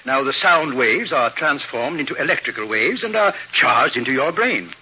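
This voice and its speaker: An actor playing an eager, eccentric scientist professor with theatrical delivery in 1950s radio drama style. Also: male voice, medium pitch, expressive, normal speed, sharp tone. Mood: gleefully coaxing. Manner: theatrical, chuckling, hesitant asides. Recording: dull, noisy old radio recording.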